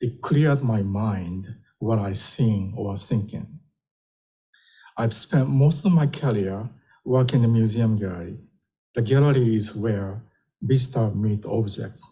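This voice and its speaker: An older man gives a public lecture, gentle and wry with small pauses. His voice is low, mellow and husky. He speaks very slowly. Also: poor audio. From Japan. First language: Japanese. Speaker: professor giving a lecture.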